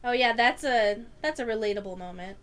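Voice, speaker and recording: Woman, small babyish voice, fairly high pitch, casual podcast co-host chat. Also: brisk, smooth.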